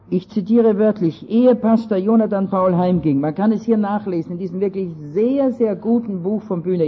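Husky high-voiced Austrian preacher. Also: male voice. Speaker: speaker. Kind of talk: lecture-style sermon. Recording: dull lo-fi recording.

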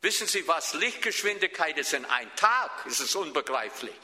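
A Swabian-accented man preaches with a very bright, cutting tone and a voice sitting a little high for a man. He presses his points hard and keeps checking in with his congregation. His words ring out with the long reverb of a large hall. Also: big pitch swings, moderate pace. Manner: emphatic, often asks the listeners questions. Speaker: pastor. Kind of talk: sermon.

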